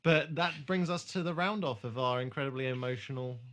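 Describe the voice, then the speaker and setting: A man's voice: mid-range voice, brisk, a bit bright. A man hosting a podcast in casual chat, rambling, jokey and given to swearing. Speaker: podcast host.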